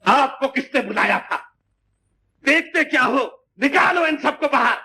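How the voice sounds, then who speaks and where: A man's voice: very high voice, expressive, unhurried, bright, forceful tone, exaggerated voice. An actor playing a haughty, irritable, sarcastic wealthy father in a fussy 1950s Hindi film style. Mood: irritated. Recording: old 1950s film soundtrack with music.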